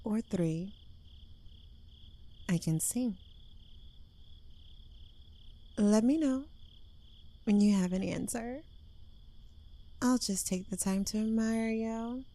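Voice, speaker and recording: A smug, clingy young witch played by a woman in an intimate ASMR roleplay. She drawls slowly in a soft, mellow voice with a creaky edge, teasing and possessive, slightly unhinged. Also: a little high. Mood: playful.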